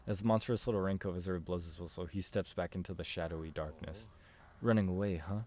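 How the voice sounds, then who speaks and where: A man doing casual let's play commentary, reading visual novel text aloud and thinking out loud. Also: low voice, fast talker. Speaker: video game streamer.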